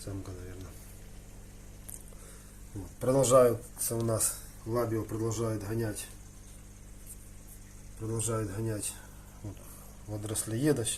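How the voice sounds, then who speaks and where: A man's voice: lowish voice, fairly even intonation, slow, long pauses, darkish tone. Casual man rambling over noticeable background noise. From Ukraine. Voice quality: frequent throat-clearing between phrases. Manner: colloquial, slangy, thinking aloud. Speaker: hobby aquarium vlogger. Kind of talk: casual rambling vlog chat. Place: indoors in a room with aquariums.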